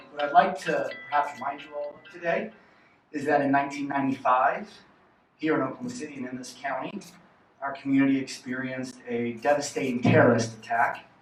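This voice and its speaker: A man with a somewhat higher voice speaks in a grave, deliberate way during public comment. He is heard from far off in an echoey hall with faint noise. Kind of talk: prepared public comment at a meeting.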